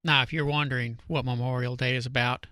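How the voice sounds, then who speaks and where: A man's voice: mid-range voice, steady tone, fast, sharp tone, no echo. A middle-aged man hosting a show, talking casually and earnestly to his listeners in a plainspoken, emphatic way. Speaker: radio show host. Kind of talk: casual, heartfelt talk to listeners.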